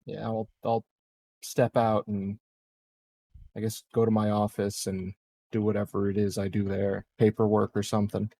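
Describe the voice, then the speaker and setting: Hesitant low-voiced man roleplaying. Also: monotone, normal speed, gravelly, warm tone. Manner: hesitant, repeats words, trails off. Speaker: tabletop game player. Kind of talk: improvised in-character casual dialogue.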